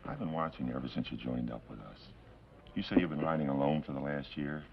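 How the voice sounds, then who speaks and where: A cautious, commanding western outlaw. His voice is extremely deep and gravelly, his talk firm and tough, heard on a dull vintage TV soundtrack. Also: fast talker. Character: cautious, tough senior outlaw henchman, level-headed. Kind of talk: 1950s television western acting. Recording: dull, hissy old television soundtrack.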